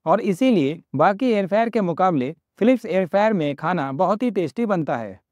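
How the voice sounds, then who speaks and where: A man in his thirties with an Indian accent, presenting a product review and then a recipe walkthrough in an upbeat sales pitch. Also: expressive, a little fast, a little mellow, no echo, studio quality. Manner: upbeat salesy pitch, addresses viewers as friends. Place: small home office room indoors. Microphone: lapel mic.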